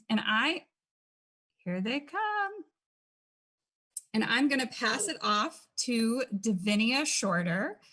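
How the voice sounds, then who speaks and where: A woman's voice: on the high side, a bit bright. A woman hosting a panel event, chatty and informal as she welcomes the guests. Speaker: event host.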